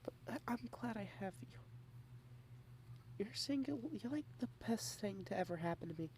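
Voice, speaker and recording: A woman speaking softly in a close-mic ASMR girlfriend roleplay, shy and rambling, with halting repeated starts and frequent half-whispers. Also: mid-range voice, animated, slight background noise. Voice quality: soft, often half-whispered.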